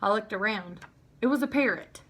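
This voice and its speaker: Bright-voiced woman reading a children's book. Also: mid-range voice, moderate pace, slightly rough. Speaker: read-aloud narrator. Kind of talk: expressive children's book narration.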